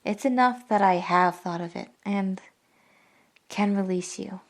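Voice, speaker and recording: An actress playing a sorrowful yet resolute young woman in a classic radio drama, speaking gravely and deliberately. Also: medium pitch, lightly acted. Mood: grieving, firm.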